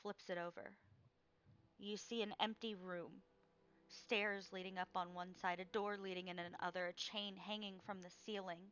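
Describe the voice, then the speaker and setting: A woman game master narrating a tabletop fantasy game in a measured, hushed descriptive way, on a slightly dull microphone. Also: mid-range voice, flat delivery, clean tone.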